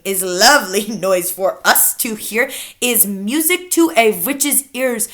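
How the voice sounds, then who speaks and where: A gloating old witch leader, acted out by a woman reading a storybook, chants her evil rhymes with theatrical glee. The voice is pitched high and forceful, and she stretches her words slowly for effect. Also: exaggerated voice. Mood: gleeful. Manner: exaggerated, drawn-out, chanting rhymes.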